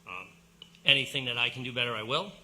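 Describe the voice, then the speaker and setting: A man giving an earnest, halting public comment at a community meeting. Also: mid-range voice, sharp tone, low-quality recording. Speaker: community member addressing board.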